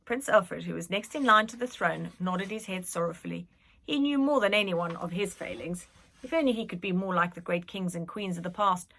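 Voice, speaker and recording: A woman reading a children's story aloud in an expressive, animated and dramatic manner. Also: on the low side, normal speed, vocal fry, poor audio. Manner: animated, dramatic, with whispered suspense. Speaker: reader, school staff member.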